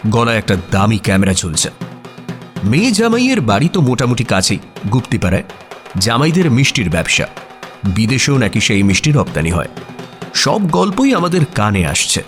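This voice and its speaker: A man's voice: mid-range voice, very animated, normal speed, pauses often, soft background music, close to an everyday voice. A man playing a curious writer and detective's companion, narrating a radio story in the first person with expression. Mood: descriptive narration.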